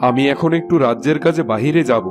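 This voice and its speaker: A man's voice: mid-range voice, fast talker, music in the background, natural voice. A man voicing a caring but commanding king in a Bangla cartoon fairy tale.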